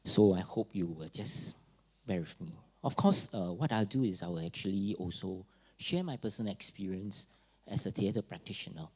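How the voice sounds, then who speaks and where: A man with a Singaporean accent giving a public talk at a forum, pausing often with fillers and restarts. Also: low-pitched, a little mellow, slightly rough, low-quality recording. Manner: halting, many fillers and restarts. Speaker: forum speaker.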